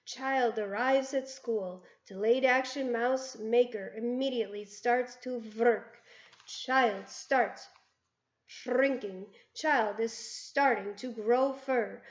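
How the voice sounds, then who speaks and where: A woman voicing a ruthless chief witch who speaks in clipped, broken grammar and gloats loudly. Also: a little high, slow pace. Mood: gloating. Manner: gloating, triumphant shouting. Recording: roomy, dull lo-fi sound.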